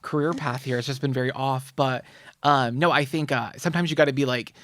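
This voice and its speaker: A man's voice: mid-range voice, fairly even intonation, a little fast, fairly bright tone, no room sound. A man talking casually as a podcast guest, with a joking, self-deprecating manner. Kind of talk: casual chat.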